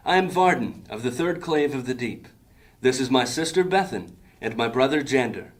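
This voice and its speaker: A proud leader of a thieves' gang, voiced by a man in a fantasy radio play. He talks in a formal, ceremonious way, with a mid-pitched voice. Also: lively intonation, moderate pace, natural voice. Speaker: actor. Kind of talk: audio drama acting.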